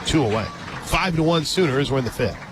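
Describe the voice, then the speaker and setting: A man doing live radio play-by-play of softball, chatty and informal, drifting into conversational asides between pitch calls. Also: expressive, brisk. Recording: crowd noise and loud music behind. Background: ballpark crowd, music, outdoor stadium ambience.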